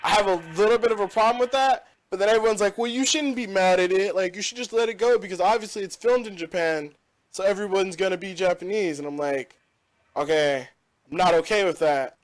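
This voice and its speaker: A man's voice: sits high, fairly quick. Opinionated young man rambling on a podcast. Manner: rambling, opinionated, many fillers. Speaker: podcast host. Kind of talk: casual chat.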